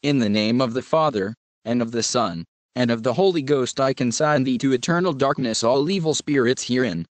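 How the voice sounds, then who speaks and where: A man doing a brisk, skeptical counselor. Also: mid-range voice, no room sound, natural voice. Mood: mock solemn. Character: stern male adult counselor, dismissive skeptic.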